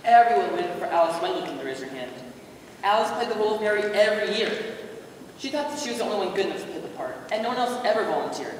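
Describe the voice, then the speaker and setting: A woman's voice: medium pitch, lively intonation, quick pace, natural voice. Female performer as storyteller in echoey hall. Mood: narrating. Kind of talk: scripted narration in amateur readers theater. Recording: distant, very echoey hall, faint noise.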